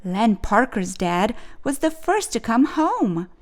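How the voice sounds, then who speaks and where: A woman reading a children's picture book aloud as a storyteller, with expressive, clearly phrased narration. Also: fairly high pitch, takes it slowly.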